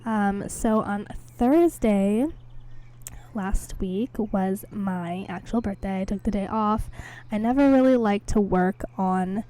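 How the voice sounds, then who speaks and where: Hoarse young woman, mellow chatty voice. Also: medium pitch, takes it slowly. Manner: chatty, rambling, with fillers. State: sick with a cold, hoarse. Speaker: podcast host. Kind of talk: casual solo chat. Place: home, indoors.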